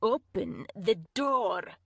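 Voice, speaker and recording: A woman reader voicing a cold, stern, self-righteous woman who speaks slowly and calmly, sometimes in a whisper. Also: medium pitch, breathy edge, no room sound, studio quality, exaggerated voice. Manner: slow, calm, measured, at times whispered. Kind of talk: audiobook character voice.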